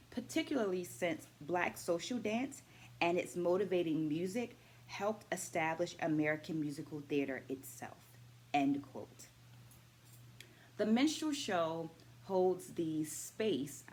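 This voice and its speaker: A middle-aged woman, a dance educator, talking through her takeaways from a book in a measured vlog, stacking her points in lists and asking viewers to comment. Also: fairly low pitch. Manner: asks viewers questions and invites comments.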